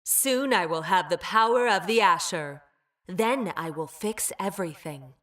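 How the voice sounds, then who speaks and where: A woman's voice: on the low side, expressive, measured pace, fairly bright tone. A woman playing a clinical, detached female android scientist in a video game, speaking with formal precision and ruthless logic. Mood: calm, calculating. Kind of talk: video game character voice lines.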